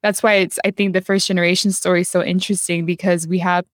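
A woman's voice: fairly low pitch, flat delivery, quick pace, no room sound, clean studio sound. A young adult woman hosting a casual podcast conversation, chatty and affirming, talking with enthusiasm. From United States. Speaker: podcast host.

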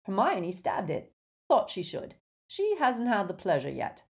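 A woman's voice: medium pitch, huge pitch swings, measured pace, gravelly, heavily acted. A woman voicing an easygoing teenage boy who speaks plainly and modestly. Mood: matter-of-fact. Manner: plain, matter-of-fact.

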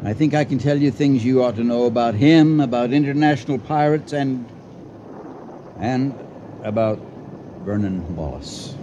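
An actor in a radio drama playing a shaken, urgent yacht owner with a deliberate, formal delivery. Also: male voice, medium pitch, expressive, warm tone, dull audio, a little hiss or hum, lightly acted. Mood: grave, urgent.

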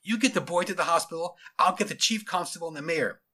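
A storybook narrator voicing a town policeman with a brisk, questioning, businesslike manner. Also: medium pitch, pushed and bright, voice pushed up. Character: town policeman, puzzled then businesslike, adult man. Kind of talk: dramatized storybook character voice.

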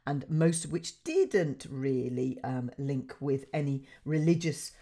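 Hoarse deep-voiced woman radio host. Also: expressive, takes it slowly, mellow. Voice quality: deep husky voice. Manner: chatty, laughing, with many fillers. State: hoarse from a cold. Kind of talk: casual radio presenting.

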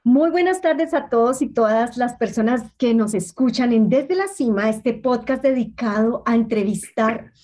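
A woman's voice: on the high side, moderate pace, hardly any pauses, dark tone. A woman hosting an interview podcast in a conversational, effusive and admiring way.

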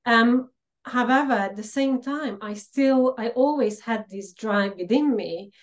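A middle-aged woman from Slovakia with a Hungarian-Slovak accent, a podcast guest telling her life story earnestly with frequent pauses. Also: fairly high pitch. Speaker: podcast guest, empowerment coach. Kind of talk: personal storytelling interview.

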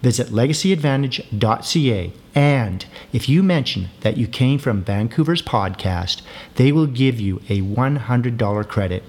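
A man's voice: mid-range voice, normal speed. A man hosting a podcast, reading a sponsor ad and a guest introduction, then asking interview questions. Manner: scripted and even when reading, plain and direct when asking. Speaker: podcast host.

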